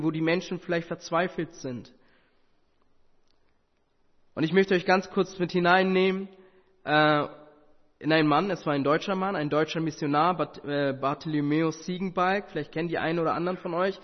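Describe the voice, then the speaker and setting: A man whose first language is Tamil, preaching an earnest sermon with rhetorical questions and occasional hesitations. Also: sits high. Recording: muffled sound with long hall reverb.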